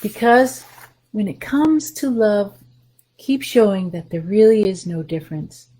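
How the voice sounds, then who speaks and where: A woman's voice: medium pitch, lively intonation, measured pace, warm tone, low-quality recording. A woman hosting a story time for young children, reading aloud and chatting casually in simple, encouraging language.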